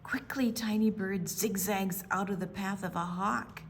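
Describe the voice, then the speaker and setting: An American woman reading a picture book aloud for young children, with dramatic pauses, hushed asides and emphatic delivery. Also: mid-range voice, fairly even intonation, a little hiss or hum. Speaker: children's storytime reader at a library.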